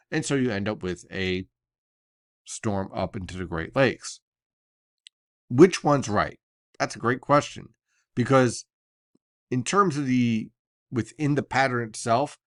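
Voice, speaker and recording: A man's voice: on the low side, dry recording, studio quality. An American man explaining a weather forecast in an analytical but conversational way, like a semi-casual briefing.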